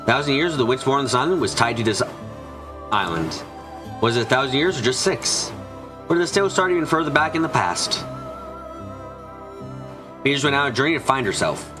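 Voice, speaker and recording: A man's voice: mid-range voice, big pitch swings, a little fast, long pauses. A man narrating a scripted story in a dramatic style for a visual novel fan dub. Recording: music behind the voice. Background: clear background music.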